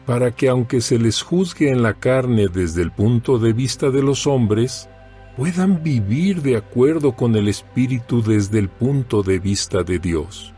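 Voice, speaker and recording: A man giving a solemn, measured, dramatized reading of scripture as an audio Bible narrator. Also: mid-range voice, lively intonation, warm tone. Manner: solemn, measured, deliberate phrasing. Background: background music.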